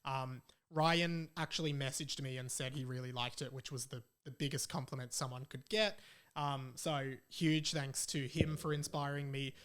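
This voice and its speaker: A man's voice: medium pitch, steady tone, moderate pace, fairly bright tone, smooth. A young adult man with an Australian accent, chatting casually as a solo podcast host in an informal, self-deprecating way.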